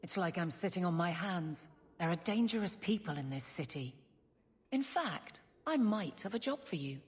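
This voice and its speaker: A woman's voice: on the low side, expressive, moderate pace, close to an everyday voice. An actress voicing a blunt, loyal woman guard and former soldier in a video game, plain-spoken and dry. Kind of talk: video game character dialogue.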